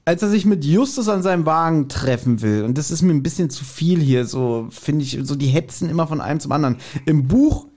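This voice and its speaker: A man's voice: fairly high pitch, lively intonation, fairly quick, hardly any pauses, darkish tone. A man chatting casually as a podcast co-host, retelling an audio drama and slipping into acted quotes. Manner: acts out quoted lines while retelling. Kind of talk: casual chat recapping an audio drama episode.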